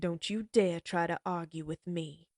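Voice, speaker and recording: A woman playing a menacing female outlaw leader who taunts her captives in a slow, mocking drawl. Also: lowish voice, steady tone, darkish tone, dry recording, clean studio sound. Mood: taunting. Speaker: voice actress. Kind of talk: audio roleplay acting.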